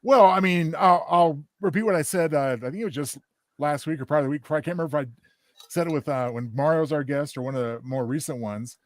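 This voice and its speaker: A man co-hosting a podcast in casual chat, speaking earnestly in wordy, halting sentences. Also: fairly high pitch, no echo. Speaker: podcast co-host.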